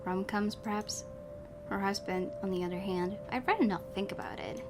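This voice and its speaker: A woman's voice: mid-range voice, normal speed, background noise. A woman hosting a let's play, reading a horror visual novel aloud and adding casual commentary. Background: music.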